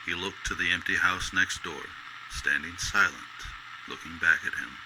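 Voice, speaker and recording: Deep-voiced male storyteller over noisy ambience. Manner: measured, literary storytelling delivery. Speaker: narrator. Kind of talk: scripted storybook narration in golden-age radio drama style.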